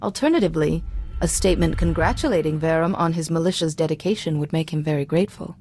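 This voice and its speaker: An actress playing a calm, principled Jedi diplomat in a video game, offering composed, gently admonishing counsel. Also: female voice, low-pitched, a little hiss or hum. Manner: composed, measured wording, advisory. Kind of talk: video game character dialogue.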